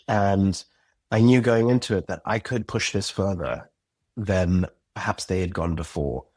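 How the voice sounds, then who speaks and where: A man's voice: deep voice, unhurried, a little mellow, breathy edge, dry recording, clean studio sound. A man talking as a podcast guest about creating an audio drama, thoughtful and earnest. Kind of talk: reflective interview talk.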